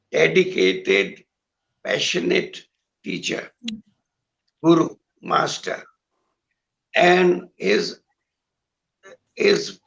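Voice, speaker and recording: An older man with a hoarse voice, a guest musician talking in a slow, halting way with many pauses over a video call. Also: high voice, fairly bright tone, dull audio. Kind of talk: recalled conversation with singing.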